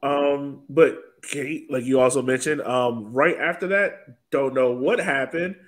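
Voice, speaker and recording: A man's voice: mid-range voice, a little slow. A man hosting a sports podcast, talking through a soccer match in a casual, conversational way.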